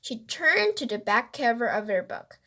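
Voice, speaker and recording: High, bright woman reading a story aloud. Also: very animated, normal speed. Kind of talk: expressive read-aloud narration with character voices.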